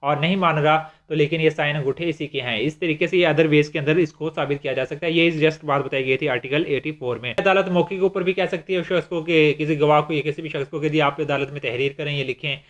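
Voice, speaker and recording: Male lecturer, Pakistani accent, fairly high register, conversational explainer who restates points, lo-fi sound. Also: fairly even intonation, fairly quick, hardly any pauses, a bit bright. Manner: conversational, repeats and rephrases points. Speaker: law lecturer. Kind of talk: explanatory lecture in simple terms with examples. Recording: dull, lo-fi microphone sound.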